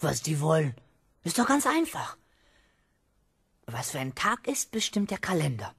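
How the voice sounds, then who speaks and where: An actor playing a cocky, scheming schoolboy who talks boastfully and emphatically in a 1970s children's radio play. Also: male voice, fairly high pitch, huge pitch swings, a little slow, fairly bright tone, breathy edge, exaggerated voice. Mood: scheming, pleased. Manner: boastful, emphatic, self-assured. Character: cocky, scheming young schoolboy with big fantasies.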